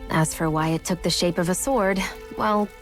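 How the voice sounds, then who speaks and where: A woman's voice: on the low side, moderate pace, faint music behind, natural voice. An actress playing a cool, knowing young woman in a video game, matter-of-fact and precise as she explains. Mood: cool, explanatory.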